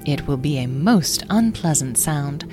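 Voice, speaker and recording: A woman voicing a tiny, formal fairy who speaks in precise, elaborate phrases, with soft music behind. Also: on the low side, animated, unhurried. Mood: commanding.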